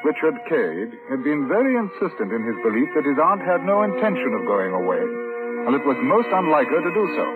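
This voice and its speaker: An actor playing a patient, methodical British chief inspector in an old radio drama, polite, measured and dryly probing. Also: male voice, fairly high pitch, sing-song, phone-quality sound, loud music behind the voice. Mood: matter-of-fact. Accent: British English. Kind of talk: old radio drama acting and first-person narration. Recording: thin, noisy old radio recording.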